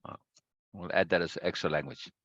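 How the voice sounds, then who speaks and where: A man hosting a casual video-call chat, talkative and quick to repeat himself and laugh. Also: sits low, fairly even intonation, fairly bright tone, dry recording, lo-fi sound. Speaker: meeting host.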